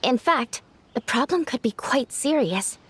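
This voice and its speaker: Calm, slightly high woman, clinic worker. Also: measured pace, slightly rough, no echo. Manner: calm and explanatory. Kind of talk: video game voice acting.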